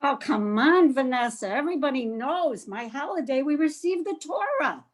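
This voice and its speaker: A woman's voice: high voice, expressive, moderate pace. A woman playing an indignant holiday that demands respect, in an amateur comic reader's theater monologue with a colloquial manner. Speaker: performer in a congregation's reader's theater.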